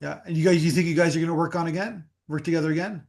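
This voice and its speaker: A man's voice: on the high side, steady tone, racing, gravelly, a little mellow. Rambling man hosting an interview. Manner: rambling, frequent restarts and repeated words. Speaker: podcast host. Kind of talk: casual interview talk.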